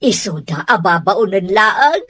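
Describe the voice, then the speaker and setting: A haughty madam, sneers in a raspy voice with an Ilocano accent. Her pitch swings dramatically in scornful radio drama style. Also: expressive, a little slow, fairly bright tone, no room sound. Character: haughty, scornful madam who despises house servants. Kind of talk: Filipino radio drama villain acting.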